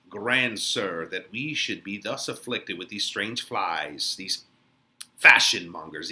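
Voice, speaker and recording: A man's voice: medium pitch, pushed and bright, exaggerated voice. A man playing a mocking, theatrical young Elizabethan wit in an exaggerated dramatic Shakespeare reading. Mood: scornful ranting. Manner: theatrical, exaggerated, swooping delivery.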